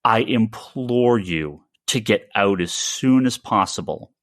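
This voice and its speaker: A man's voice: on the low side, a bit bright, no room sound, clean studio sound. An American man hosting a casual podcast discussion, giving opinions emphatically and stammering over repeated words. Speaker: podcast host; comic shop retailer.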